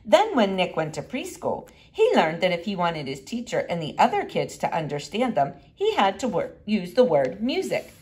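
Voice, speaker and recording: A woman reading a children's storybook aloud in an expressive way with deliberate emphasis. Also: medium pitch. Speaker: reader.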